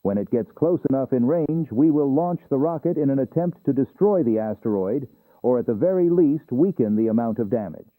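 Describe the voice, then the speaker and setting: A man playing a grave government official, giving an earnest, measured scripted briefing. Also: mid-range voice, lively intonation, no room sound, close to an everyday voice. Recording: tinny, band-limited radio message.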